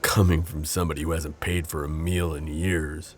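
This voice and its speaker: Man acting as wry undercover coworker, deep voice, protective, sardonic, audio drama. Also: level tone, moderate pace. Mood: defiant, protective. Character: sardonic, protective male civilian coworker hiding a secret identity.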